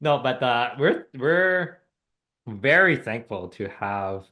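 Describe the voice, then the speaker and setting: A man hosts a relaxed podcast interview. He asks loose, rambling questions and laughs often along the way. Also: medium pitch, slow, narrow, tinny audio. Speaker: podcast host. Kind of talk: casual interview.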